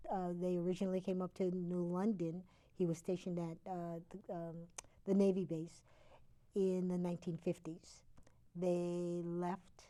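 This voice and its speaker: A woman from Connecticut, a guest on a talk show, explains history conversationally. Her voice is fairly low and mellow, and she pauses often with fillers as she corrects herself. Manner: halting, with frequent fillers and self-corrections.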